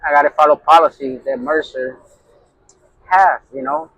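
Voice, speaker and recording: A man's voice: fairly high pitch, steady tone, normal speed, a bit bright, muffled recording, slight background noise. A middle-aged man with a Puerto Rican accent, a truck driver telling a heated story in a casual vlog, with constant fillers. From New York. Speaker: owner-operator truck driver.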